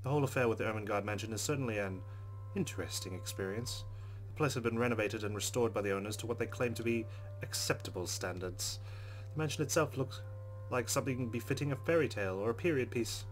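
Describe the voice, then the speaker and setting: A man's voice: lowish voice, fast, a little hiss or hum, rough recording. A man reading a visual novel aloud as a let's play host, with casual commentary.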